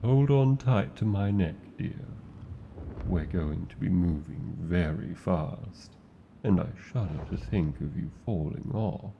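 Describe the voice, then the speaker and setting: A man with a British accent voicing a tender, protective male dragon in a fantasy ASMR roleplay, speaking in a slow, formal and doting way full of endearments. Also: deep voice, expressive, gravelly, muffled recording, a little hiss or hum, heavily acted. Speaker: voice actor.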